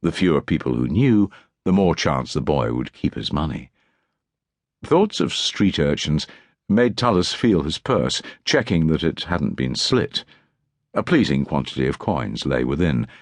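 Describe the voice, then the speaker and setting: Male narrator, extremely low pitch, expressive swooping intonation, scripted historical fiction, audiobook reading. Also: measured pace, dry recording.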